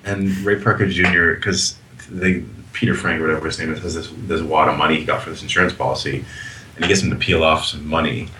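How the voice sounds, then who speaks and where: A man's voice: extremely low pitch, steady tone, quick pace, background noise. A man co-hosting a movie podcast in casual chat, animatedly retelling scenes with relish. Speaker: podcast co-host.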